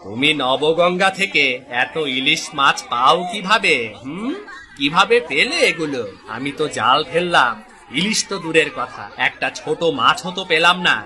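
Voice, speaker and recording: A man's voice: fairly high pitch, lively intonation, moderate pace, pushed and bright. A man voicing a greedy, envious, suspicious fisherman in a cartoon. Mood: puzzled, envious. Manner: suspicious, questioning. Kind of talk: Bengali cartoon voice acting.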